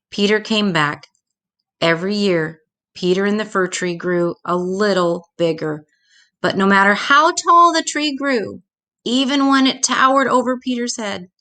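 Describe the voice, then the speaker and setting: A woman reading a children's storybook aloud expressively, with dramatic pauses. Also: medium pitch, pushed and bright.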